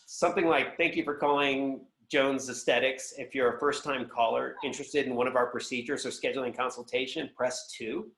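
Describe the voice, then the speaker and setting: A man speaks as a guest consultant, explaining business practice in a conversational way. His voice sits at a middling pitch, and the sound is noticeably roomy and echoing. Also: fairly even intonation, a little fast, few pauses, fairly bright tone. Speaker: guest, sales executive and consultant.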